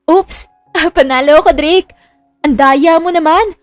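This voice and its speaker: Woman putting on a very high, whiny young woman. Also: measured pace, no room sound, soft background music. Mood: pouty, playful. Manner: whiny, exclaiming. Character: playful young woman, pouty whining teasing bride. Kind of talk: dramatized audio story dialogue.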